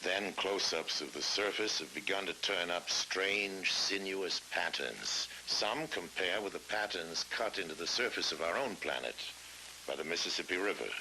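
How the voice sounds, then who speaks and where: A middle-aged man narrating a scripted science documentary in a measured, dramatic way, with weighty pauses. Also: low-pitched, animated, bright, forceful tone, poor audio. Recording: hissy old film soundtrack.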